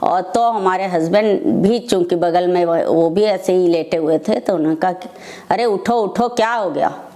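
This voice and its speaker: An older woman, telling personal devotional stories as a devotee, in short phrases with pauses between them. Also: on the low side, dark tone. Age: older, over 60. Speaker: devotee sharing personal testimony.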